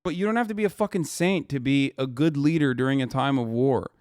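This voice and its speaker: A man's voice: medium pitch, fairly quick, a little mellow, clean tone, dry recording, clean studio sound. A man streaming live, reacting to a news video with heated, profane rants and emphatic repetition.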